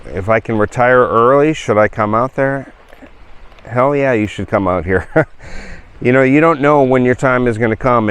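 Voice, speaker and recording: A man's voice: fairly low pitch, smooth. A man of fifty, talking casually and rambling with many fillers as a travel vlogger showing viewers around. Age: 50s. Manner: rambling, relaxed, with frequent fillers and laughs. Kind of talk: casual walk-and-talk vlog.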